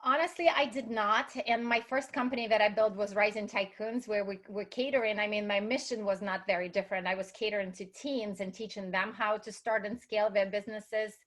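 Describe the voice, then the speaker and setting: A woman from Russia speaks with a clear Russian accent as a guest on an interview. Her voice sits at a middle pitch, and she talks in an upbeat, motivational way, like a speaker trying to inspire her listeners. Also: fairly even intonation, fairly quick, hardly any pauses, a bit bright. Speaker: guest, entrepreneur. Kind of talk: interview conversation.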